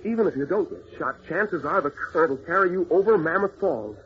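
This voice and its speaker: An actor playing an anxious, protective older brother, a wounded veteran, pleading hesitantly in an old radio serial with a muffled, hissy sound. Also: male voice, sits high, expressive, brisk, a bit bright. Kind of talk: 1940s radio serial acting.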